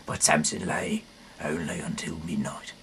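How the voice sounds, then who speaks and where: A man reading scripture aloud in a halting, rambling way, often correcting himself and dropping in casual asides. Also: very deep voice, little pitch movement, creaky, fairly bright tone, in a whisper. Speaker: amateur reader of a Bible audio series.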